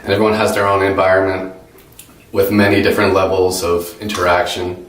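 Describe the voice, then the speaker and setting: A young man with a low voice presents his tutoring work in a halting way, pausing on ums and correcting himself. He is heard from a distance in an echoey room. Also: level tone, a bit bright. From United States. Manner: hesitant, many ums and self-corrections. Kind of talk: informal class presentation.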